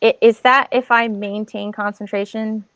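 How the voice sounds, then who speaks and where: A woman's voice: mid-range voice, fairly even intonation, normal speed, fairly bright tone, no room sound. A woman playing an online tabletop game, talking casually and tentatively as she checks the rules. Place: online voice call.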